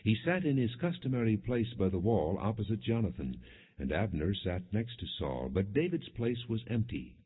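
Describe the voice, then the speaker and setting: A low-pitched, somewhat husky male narrator reads scripture as a scripted story. His delivery is measured, with expression given to quoted dialogue. The audio is narrow and phone-like. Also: big pitch swings.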